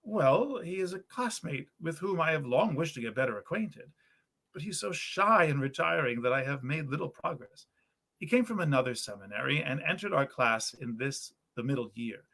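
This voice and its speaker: A man voicing an earnest, good-natured young male student from a storybook, with animated, eloquent old-fashioned speech. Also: a little high, normal speed, vocal fry, full character voice. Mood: thoughtful, warm. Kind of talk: storybook character reading.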